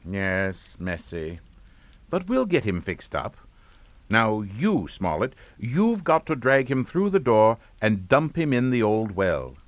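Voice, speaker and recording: An actor playing a calm, menacing middle-aged father in a suspense radio drama, with clipped, authoritative delivery. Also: lowish voice, takes it slowly, dry recording. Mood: commanding. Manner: clipped, authoritative, matter-of-fact.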